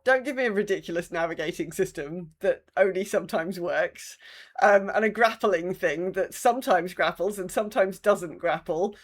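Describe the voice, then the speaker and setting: A woman's voice: on the low side, moderate pace. Blunt woman co-hosting a casual podcast. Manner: blunt, exasperated griping with swearing. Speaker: podcast co-host. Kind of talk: casual chat about a video game.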